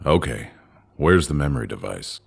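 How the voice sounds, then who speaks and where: An actor playing a commanding, guarded alien council official in a sci-fi audio drama, with a gravelly, husky voice and questioning manner. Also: male voice, very deep voice, expressive, moderate pace, exaggerated voice. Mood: probing, businesslike. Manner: authoritative, questioning.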